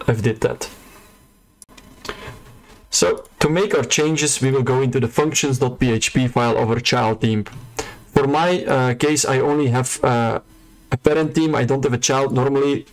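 A man's voice: medium pitch, low-quality recording. A man talking through a screen-recorded coding tutorial in a casual, unscripted way, with frequent fillers and restarts. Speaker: web developer tutor.

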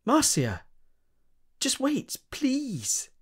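An actor playing an earnest young Victorian sculptor in an audio drama, with theatrical, old-fashioned diction. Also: male voice, very high-pitched, wildly swooping pitch, very slow, husky, full character voice. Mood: pleading. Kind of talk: period audio drama acting.

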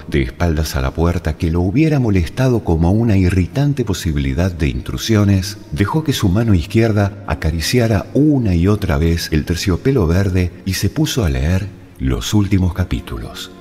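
A man narrating a literary story in a dramatic, measured and deliberate way. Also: low voice, expressive, dark tone. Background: music.

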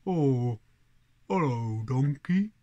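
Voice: mid-range voice, expressive, extremely slow, dark tone, lo-fi sound. A storyteller voicing a friendly, gossipy farm cow with homely rural speech in a folk tale. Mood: chatty.

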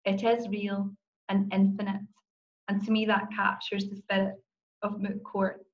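A woman's voice: mid-range voice, monotone, measured pace, dull audio. A middle-aged Scottish woman, a law professor and moot court coach, giving an enthusiastic, personal talk on a conference panel over a video call. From Scotland.

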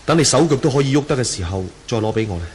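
A brave young martial hero acted by a man from a Hong Kong Cantonese radio drama. He speaks at a middling pitch, earnest and protective, on an old recording with slight background noise. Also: lively intonation, rapid-fire, darkish tone, natural voice. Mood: gentle, considerate. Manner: reassuring, earnest. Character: brave, protective young swordsman, calm and chivalrous. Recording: faintly noisy 1980 radio drama.

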